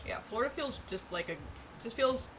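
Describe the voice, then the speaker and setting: A woman's voice: on the high side, fairly quick. Dry-joking woman chatting while she eats. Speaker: co-host eating on stream. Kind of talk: casual chat. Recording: steady background noise.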